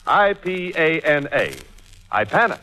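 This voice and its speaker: A man's voice: fairly high pitch, very animated, unhurried. An American man announcing in a polished, persuasive 1940s radio commercial style.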